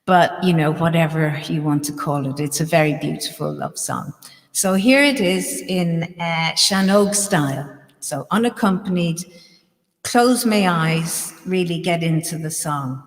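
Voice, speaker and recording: A woman's voice: low-pitched, unhurried, long reverb tail. A woman with an Irish accent, a guest musician chatting casually between songs, giggly and full of ums. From Ireland. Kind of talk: casual chat between songs on a live stream.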